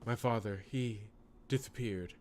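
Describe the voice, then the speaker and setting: A man's voice: medium pitch, measured pace, darkish tone, faint music behind, natural voice. Man voicing a timid, hesitant young servant woman. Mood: sad, subdued.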